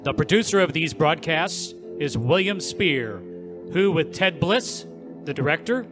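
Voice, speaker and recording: A suave 1940s radio narrator rolls out the closing credits in a smooth, ceremonious announcer's voice, played by an actor. His voice sits a touch high. Clear music plays under him. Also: animated, a little slow. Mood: announcing.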